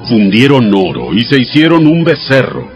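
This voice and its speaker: An actor playing God as a stern, wrathful, commanding deity in a dramatized audio Bible. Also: male voice, medium pitch, huge pitch swings, moderate pace, faint music behind.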